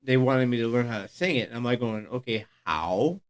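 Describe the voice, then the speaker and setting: A man's voice: mid-range voice, animated. An older man co-hosting a casual podcast chat, easygoing and agreeable. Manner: easygoing, agreeable, quick to laugh along. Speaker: podcast co-host.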